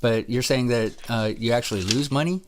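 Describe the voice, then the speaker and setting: A man calling on the phone to ask questions, halting and skeptical as he puts his points together. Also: mid-range voice. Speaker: caller. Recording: phone call recording with faint noise.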